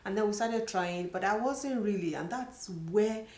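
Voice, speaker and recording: A woman's voice: mid-range voice, normal speed, darkish tone. A middle-aged woman from Nigeria with a Nigerian accent, telling her own story to her channel in an earnest, chatty way, often saying "you know". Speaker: vlogger. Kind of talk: personal storytelling to camera. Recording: echoey room.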